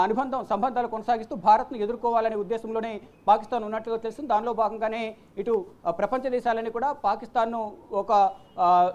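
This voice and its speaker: A woman presenting unscripted news commentary, repeating phrases and pausing on fillers as she goes. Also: on the high side, darkish tone.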